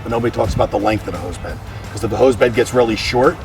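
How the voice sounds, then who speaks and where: A man's voice: on the low side, fast, gravelly, darkish tone, recorded from a distance, faint music behind. An American man, a fire chief, explaining equipment in a practical, plain-spoken way during a walk-through. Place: outdoors around a fire truck.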